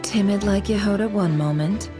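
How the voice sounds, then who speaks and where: A woman's voice: medium pitch, normal speed, music in the background, close to an everyday voice. A woman voicing a cool, shrewd schemer in a video game, guarded and proud, with a sly, wry, teasing manner. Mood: wry, challenging.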